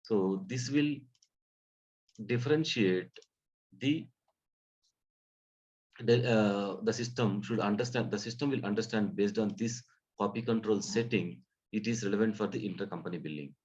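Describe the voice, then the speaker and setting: A man with a fairly low voice leads a live training session. He explains in a halting manner, restating phrases as he goes. The recording is dull and lacking in detail. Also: darkish tone. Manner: halting, repeats and rephrases phrases. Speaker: software trainer. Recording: dull, muffled lo-fi audio.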